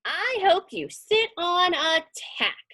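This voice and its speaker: A grumpy little boy from a picture book, played by a woman reader, airs his grievances emphatically. The voice is high and bright, with big swings in pitch. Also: big pitch swings, slow, exaggerated voice. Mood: spiteful. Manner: emphatic, complaining delivery. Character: grumpy, aggrieved young boy in a storybook.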